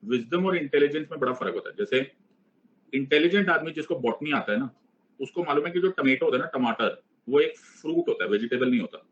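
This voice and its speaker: A man's voice: medium pitch, lively intonation, fairly quick, fairly bright tone, highs cut off. A middle-aged man teaching an online exam-prep class, playful and teasing as he switches between Hindi and English. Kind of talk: live online class lecture.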